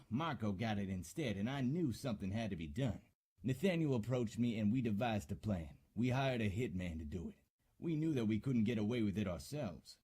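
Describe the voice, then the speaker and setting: A man's voice: medium pitch, mellow, husky. A man playing a shifty, desperate talent manager who schemes, in the style of a 1940s radio drama.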